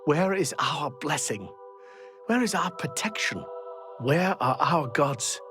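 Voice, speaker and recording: An actor playing a despairing, devout doomsayer in a fantasy video game, mournful and declamatory. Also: male voice, fairly high pitch, expressive, slow pace, over music.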